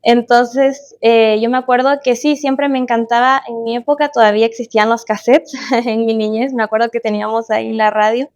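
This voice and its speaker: A woman's voice: fairly high pitch, fairly even intonation, brisk, highs cut off. A woman in her late twenties with a Chilean accent, chatting casually as a podcast guest about her own life. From Chile, born in Guatemala. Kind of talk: casual interview chat, personal reminiscence.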